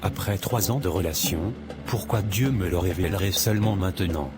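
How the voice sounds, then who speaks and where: Man doing a worried young guy, very low voice, earnest, cartoon. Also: moderate pace, over loud music, natural voice. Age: young adult. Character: troubled young man, law student.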